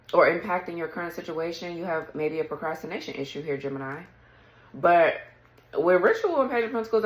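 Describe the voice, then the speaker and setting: A woman with a low, raspy morning voice talks casually through a tarot spread and often clears her throat. Her voice sounds echoey, as if she is in a bare room. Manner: chatty, clears throat often, reads card texts aloud. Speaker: tarot reader. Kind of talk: casual tarot reading to camera.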